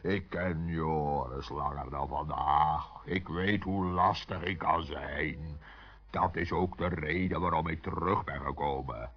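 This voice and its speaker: An actor playing a huge, gruff, bossy giant with a slow, ponderous delivery in a children's radio play. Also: male voice, extremely low pitch, fairly even intonation, exaggerated voice. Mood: gruff amusement. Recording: dull, muffled old recording.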